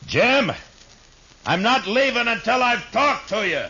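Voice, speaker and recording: A man playing a resolute frontier lawman calling out firmly and deliberately in a 1950s radio western. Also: very high-pitched, big pitch swings, full character voice. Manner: loud, firm, deliberate calling. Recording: dull lo-fi old radio recording.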